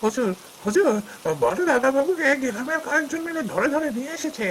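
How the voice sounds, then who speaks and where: A man's voice: extremely high pitch, lively intonation, brisk, a crowd in the background. An actor playing a panicky male servant in a fairy-tale cartoon, speaking in a breathless, urgent way. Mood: panicked.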